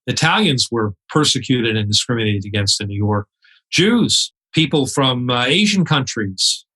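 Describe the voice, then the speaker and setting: Bright-voiced earnest man telling stories. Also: medium pitch, low-quality recording. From United States. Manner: earnest, quoting others with feeling, many restarts. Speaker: podcast guest, charity cyclist. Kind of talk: conversational interview storytelling. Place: home in New York City.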